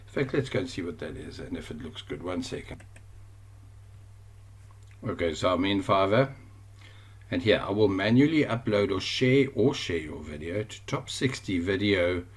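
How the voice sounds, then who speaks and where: Chatty South African man, lowish voice. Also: slightly rough. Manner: rambling, self-correcting, chatty. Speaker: online course instructor. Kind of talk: screen-share tutorial.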